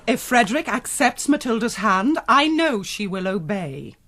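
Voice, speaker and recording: A woman's voice: fairly high pitch, big pitch swings, moderate pace, fairly bright tone. A woman playing a pious, submissive noble mother in a Gothic radio drama, formal and earnest in delivery. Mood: resigned, pious.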